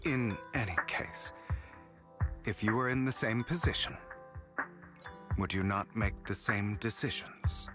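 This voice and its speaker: An actor playing a suave, sardonic gentleman thief and con artist in a video game, with a grandiose, silky, deliberate delivery. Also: fairly low pitch, lightly acted. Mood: calm, earnest. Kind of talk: dramatic video game voice acting. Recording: background music under voice.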